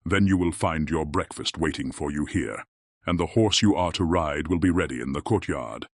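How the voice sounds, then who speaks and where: A man's voice: rumbling low, moderate pace, husky, full character voice. A man playing a grim, commanding beast in a dramatized fairy tale audiobook, speaking formally. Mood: stern, commanding.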